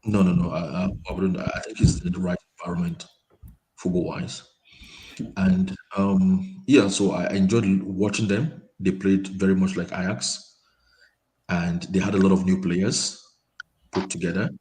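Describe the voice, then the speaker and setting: A man with a very low voice talks football in a relaxed chat. His speech halts often, full of repeated words and ums. He comes through a quiet microphone on an online call. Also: moderate pace, rough recording. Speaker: panel guest. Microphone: remote call mic, quiet.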